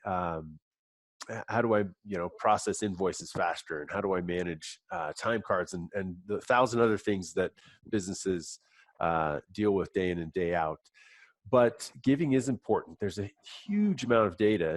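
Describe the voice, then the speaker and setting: A low-pitched man with a cold, his voice stuffed up. He talks haltingly, full of fillers and restarts. The sound is dry and close. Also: no room sound. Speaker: app company guest. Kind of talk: webinar presentation.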